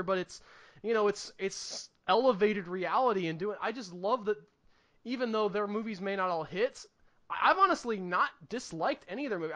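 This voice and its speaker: Male podcast co-host, high and bright voice, casual film chat, earnest rambling delivery. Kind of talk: casual movie review chat.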